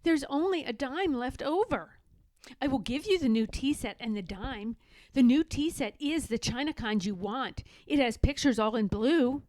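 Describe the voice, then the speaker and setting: A woman's voice: sits high, moderate pace, a little mellow, dry recording, close to an everyday voice. A woman voicing a crafty, pushy young girl in a storybook, wheedling and insistent.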